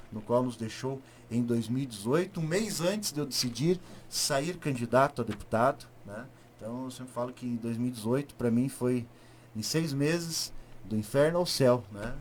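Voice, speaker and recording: A man from southern Paraná with a rural southern Brazilian accent, speaking as a politician being interviewed, emphatic and halting, repeating words and asking rhetorical questions. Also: medium pitch, a little hiss or hum. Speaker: state deputy, interview guest. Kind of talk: political interview, spontaneous speech.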